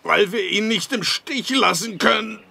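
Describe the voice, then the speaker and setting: A man's voice: high voice, unhurried, vocal fry, sharp tone, heavily acted. An actor playing a brooding, guarded father in a period mystery radio play, speaking tersely. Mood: pained.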